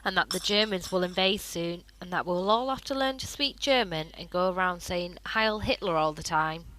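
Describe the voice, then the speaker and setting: A woman's voice: on the low side, moderate pace, a bit bright, lightly acted, rough recording. A female actor playing an earnest, curious young granddaughter in an amateur wartime radio drama. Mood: earnest, indignant.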